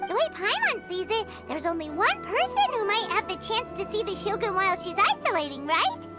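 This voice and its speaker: Casting: childlike game fairy, extremely high and squeaky, perky, blunt, animated intonation. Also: female voice, a little fast. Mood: eager, matter-of-fact. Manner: speaks of self in third person, perky. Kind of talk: animated video game voice acting. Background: game music.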